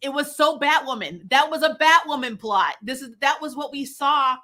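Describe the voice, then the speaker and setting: A woman's voice: high-pitched, animated, normal speed, sharp tone. A woman casually chatting in a joking film review, sarcastic and blunt, often giggling as she talks. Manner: giggly, laughs often while talking; sarcastic, blunt, salty language. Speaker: movie review show host.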